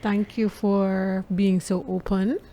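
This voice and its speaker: A woman's voice: medium pitch, little pitch movement, slow, warm tone. Polite woman hosting an interview. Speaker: interview host.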